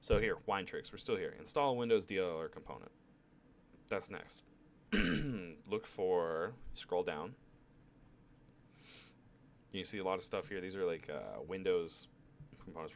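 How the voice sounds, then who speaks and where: A man giving a casual step-by-step software tutorial, sick with a cough. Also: low voice, slight background noise. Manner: relaxed, chatty, step-by-step instructions. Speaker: tutorial maker. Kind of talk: casual screen-recorded tech tutorial.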